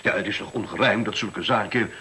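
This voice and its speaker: A man's voice: on the low side, a little fast, bright, forceful tone, slight background noise. A man acting in a Dutch radio play as a middle-aged school director, defensive and officious, hesitating and then lecturing. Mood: lecturing, self-assured. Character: defensive, self-important male school director pitching efficiency.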